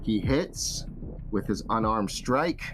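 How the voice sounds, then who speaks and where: A man's voice: on the low side, expressive, slow pace, noisy background. A man narrating a tabletop role-playing game as game master, casual and free with swearing. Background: music.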